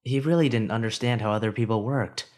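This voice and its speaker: A man's voice: medium pitch, brisk, darkish tone. A man narrating a fan-made audiobook of a story, reading expressively and lifting his voice for the hero's inner thoughts.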